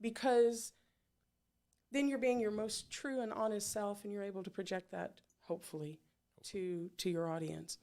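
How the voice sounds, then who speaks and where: A woman's voice: mid-range voice, fairly even intonation, normal speed, dark tone. A middle-aged woman hosting an interview, chatting casually and rambling with many fillers and restarts. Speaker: podcast host.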